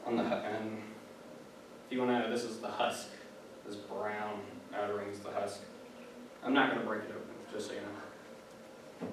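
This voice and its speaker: A man's voice: fairly low pitch, little pitch movement, normal speed, long pauses. A young man giving a casual, chatty classroom presentation, full of fillers and asides. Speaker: student giving a class speech. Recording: echoey room, distant mic, background noise.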